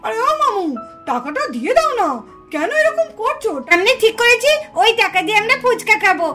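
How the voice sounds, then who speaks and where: Tiny squeaky kid, eager n whiny, puppet cartoon, music under it. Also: female voice, big pitch swings, a little fast, sharp tone, full character voice. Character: eager, whining little child wanting a share. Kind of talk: puppet-show cartoon dialogue. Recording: music behind the voice.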